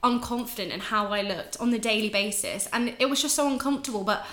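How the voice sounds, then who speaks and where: A young English woman with a bright, forceful, fairly high voice chats openly as a podcast guest. She's candid and swears freely. The room is noticeably echoey. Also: fast talker. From England, raised in Ireland. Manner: chatty, candid, swears, laughs often. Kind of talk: casual podcast chat.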